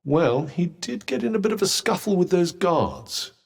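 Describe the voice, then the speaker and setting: A man's voice: high-pitched, animated, normal speed, vocal fry, heavily acted. A man with a British accent voicing a haughty, sardonic talking magical object in a video game, with a dry, condescending and theatrical manner. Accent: British English. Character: sardonic, haughty, well-spoken talking magical cuff, male. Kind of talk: video game character dialogue.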